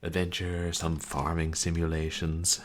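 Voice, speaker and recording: A man chats his way through a game on a live stream. His voice is very deep and soft-spoken, calm, and unhurried. He rambles in a casual way with lots of fillers. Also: noisy background.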